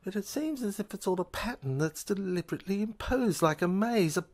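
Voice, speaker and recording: A speaker in an amateur audio drama, explaining things earnestly in a halting, stammering way with repeated phrases. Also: medium pitch, lively intonation, darkish tone.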